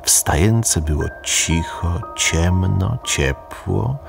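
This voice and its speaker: Man playing a deep, creaky ancient reindeer. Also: over music, heavily acted. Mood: grandfatherly, unhurried. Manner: slow, ponderous storytelling. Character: ancient male reindeer ancestor telling his tale. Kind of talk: radio play storytelling.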